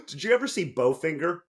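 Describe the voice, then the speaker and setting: A middle-aged man co-hosting a podcast in casual comedic chat, rambling and joking with halting asides. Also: sits high, slightly rough. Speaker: podcast co-host.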